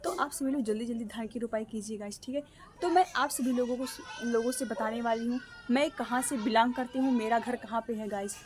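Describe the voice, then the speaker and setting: A woman's voice: on the high side, fairly even intonation, a little fast, background noise. Chatty young woman. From Ambedkar Nagar, Uttar Pradesh, India. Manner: chatty, repetitive, addresses viewers as guys. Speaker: vlogger. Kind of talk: casual vlog chat to viewers. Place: outdoors at home near a guava tree.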